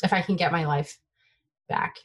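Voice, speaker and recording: A young adult woman from the Bay Area, whose first language is Taiwanese, chatting excitedly as a guest developer in an interview. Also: sits low, moderate pace, gravelly, husky. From United States (Bay Area). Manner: excitable, filler-heavy, trailing off. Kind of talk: casual tech interview chat.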